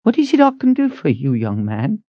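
A man's voice: high voice, lively intonation, measured pace, no room sound, pitched above the performer's own voice. A man voicing a thin older woman, a head cook, speaking in an uneasy, broken voice. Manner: quavering, broken voice. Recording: dull lo-fi audio.

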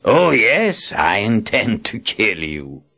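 A man's voice: lowish voice, sing-song, slow pace, creaky, pushed and bright, full character voice. A man voicing a menacing tyrant who speaks through a possessed woman, mocking and silky in an audiobook. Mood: cruel, gloating. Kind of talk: audiobook character acting.

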